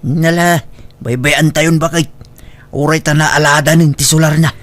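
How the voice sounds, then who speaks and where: A fairly high male voice, close and dry, with an Ilocano accent. A man acting a mild-mannered villager who defers and appeases in a comedy drama. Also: fairly even intonation, moderate pace, a bit bright, no room sound, close to an everyday voice. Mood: chatty.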